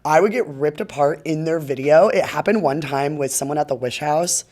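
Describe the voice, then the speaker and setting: Deep-voiced woman hosting a chat. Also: normal speed, darkish tone. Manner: chatty, upbeat, laughs easily. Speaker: podcast host. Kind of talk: casual chat.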